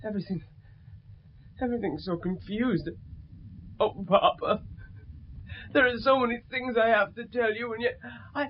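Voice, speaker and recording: A man acting a tormented, frightened young man in a 1970s radio drama, with a husky, breathy voice and halting, overwrought delivery. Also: very high-pitched, a little hiss or hum. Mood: dreamy confusion. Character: tormented, frightened cursed son afraid of sunlight. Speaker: actor. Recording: dull 1970s radio recording.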